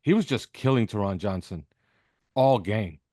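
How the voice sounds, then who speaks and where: A man's voice: fairly low pitch, expressive, moderate pace, warm tone, no room sound, clean studio sound. A man speaking as a guest analyst in a sports interview, making his points emphatically and repeating them for effect. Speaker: podcast host appearing as guest analyst. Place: video call with backdrop behind.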